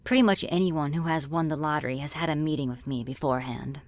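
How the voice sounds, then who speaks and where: Woman playing a lower-voiced, teasing friendly demon. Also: fast talker, close to an everyday voice. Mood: amused, reassuring. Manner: casual, teasing, politely menacing. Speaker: roleplay voice performer. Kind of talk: ASMR roleplay, scripted one-sided dialogue.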